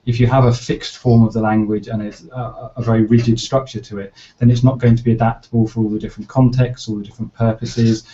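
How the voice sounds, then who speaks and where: A man's voice: medium pitch, level tone, quick pace, hardly any pauses, dark tone, muffled recording. A man speaking on an academic panel, explaining his points articulately with some hesitations.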